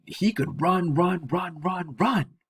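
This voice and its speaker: A man's voice: high voice, takes it slowly, fairly bright tone, slightly rough, no echo. A man reading a short story aloud as narrator, expressively.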